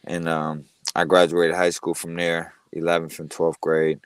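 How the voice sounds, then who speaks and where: A very low-pitched man from Connecticut talks casually as an interview guest, his voice smooth and resonant. The sound is dry and close, with no room around it. He keeps it laid-back and fills his sentences with "you know.". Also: monotone, a little slow, dry recording. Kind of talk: casual conversation. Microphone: headphones on a remote call.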